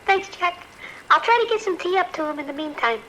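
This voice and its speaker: Kind hotel desk worker played by a woman: strikingly high, anxious, familiar, slight noise behind, audio drama. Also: expressive, brisk, fairly bright tone, low-quality recording. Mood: worried, sympathetic. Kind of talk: naturalistic audio drama acting.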